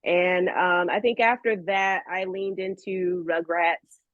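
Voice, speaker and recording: A woman's voice: on the low side, steady tone, slow pace, no echo. A woman chatting casually as an interview guest, candid and enthusiastic in her manner. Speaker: guest, voice actress. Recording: dry, slightly dull audio.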